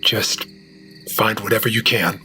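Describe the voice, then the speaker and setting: Man acting driven cop, deepish voice, sharp and forceful, suspicious, audio drama. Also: fairly even intonation, unhurried, slight background noise. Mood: focused. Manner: insistent, urgent. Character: suspicious, driven male police officer.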